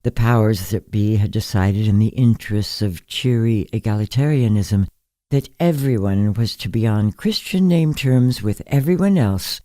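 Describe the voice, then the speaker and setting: A man narrating a literary short story in a measured, gentle, deliberate way. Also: lowish voice, no room sound, clean studio sound. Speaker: narrator, fiction reader.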